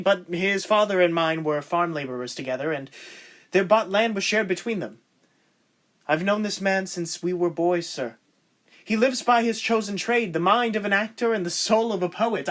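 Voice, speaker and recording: A man as a high-voiced, gallant young lieutenant. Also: fairly bright tone. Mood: deferential. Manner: formal, flowery. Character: courteous, devoted young lieutenant in love. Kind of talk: amateur period audio drama acting.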